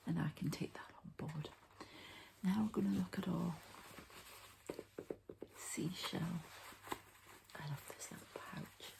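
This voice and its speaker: A woman doing a whispered ASMR card reading as an energy healer, in a hushed, breathy, creaky voice, unhurried and murmuring to the listener. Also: on the low side, steady tone, poor audio.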